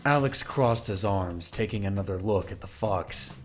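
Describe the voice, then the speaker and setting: A man's voice: fairly low pitch, moderate pace, loud music behind the voice. A man narrating an audio drama from a script.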